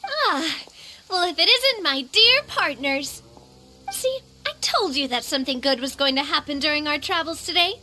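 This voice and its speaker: A confident young lady boss in a video game, friendly and theatrical. Her voice is very high and forceful, and she swings through her lines with lively emphasis. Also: moderate pace, a little hiss or hum. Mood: delighted, friendly. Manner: bubbly, emphatic, self-assured. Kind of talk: animated video game dialogue.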